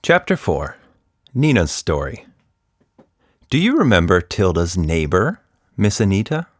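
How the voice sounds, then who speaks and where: A man's voice: low-pitched, a little slow, dry recording, clean studio sound. A man telling a story for children as its author, playfully teasing and speaking straight to the listener. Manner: animated, teasing, talks directly to the listener.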